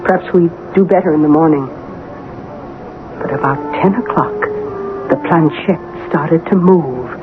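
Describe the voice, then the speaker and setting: An actress playing a brisk, sensible woman with deliberate dramatic phrasing, in the style of 1970s radio drama. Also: sits low. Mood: eerie recounting. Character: brisk, sensible woman recounting an eerie experience. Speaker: actress playing narrator and lead. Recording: tinny, hissy old radio broadcast.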